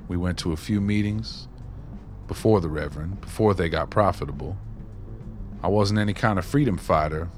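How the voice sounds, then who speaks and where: A man's voice: sits low, natural voice. An actor playing a streetwise, wry former maintenance man, telling stories in a folksy, colloquial way in a radio play. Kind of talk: radio play dialogue, reminiscing.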